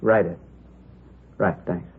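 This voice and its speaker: A man's voice: low-pitched, steady tone, slow pace, slightly rough, exaggerated voice. An American man playing an easygoing, plain-spoken post office inspector in an understated 1950s radio police drama. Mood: businesslike. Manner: casual, plain-spoken, matter-of-fact. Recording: muffled old radio recording, faint noise.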